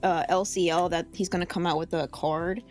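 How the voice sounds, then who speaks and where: A woman's voice: on the low side, steady tone, brisk, darkish tone, soft background music. A young adult woman co-hosting a podcast, chatting casually with lots of filler words. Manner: chatty, lots of ums and likes. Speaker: podcast co-host. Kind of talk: casual chat.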